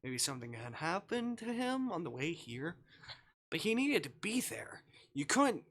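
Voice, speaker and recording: A male streamer reading a visual novel aloud dramatically, with expressive, exasperated delivery and asides of his own. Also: fairly high pitch, moderate pace. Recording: faint music behind the voice.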